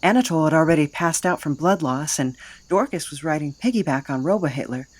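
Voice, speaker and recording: A man's voice: fairly high pitch, lively intonation, a little fast, no echo. A man playing a weary, drifting young adventurer in an audio drama, hesitant and resigned.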